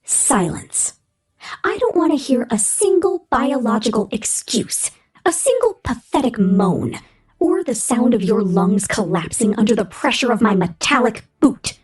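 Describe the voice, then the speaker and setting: Woman voicing a breathy, sadistic female killer robot. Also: fairly high pitch, sing-song, unhurried, darkish tone, full character voice. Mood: contemptuous, commanding. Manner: menacing, contemptuous, commanding, breathy half-whispered delivery. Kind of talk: ASMR roleplay monologue.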